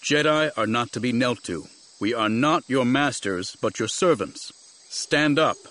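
A man voicing a grim, stern warrior-monk master in an audiobook, low and tersely commanding. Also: a little slow, a bit bright, close to an everyday voice.

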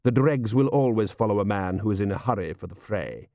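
A man voicing a coolly ruthless desert warrior with formal, measured, deadpan courtesy in an audiobook. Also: fairly low pitch, no echo, clean studio sound. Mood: sly.

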